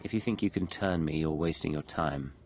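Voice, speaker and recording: A man's voice: a bass voice, a little fast, soft background music. An actor playing a terse, guarded male secret agent in a video game. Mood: cold, dismissive. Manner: curt, guarded. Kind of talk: video game dramatic acting.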